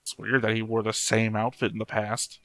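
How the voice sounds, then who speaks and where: A man's voice: lowish voice, completely flat delivery, moderate pace, fairly bright tone. A man giving casual off-the-cuff commentary as a reaction host, with a dry, analytical way of talking.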